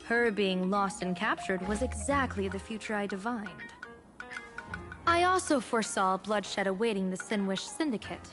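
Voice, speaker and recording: An actress playing an amused, enigmatic general and diviner in a video game, speaking in a composed, formal, theatrical way. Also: female voice, mid-range voice, animated, moderate pace, natural voice. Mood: poised, knowing. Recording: game music behind the voice.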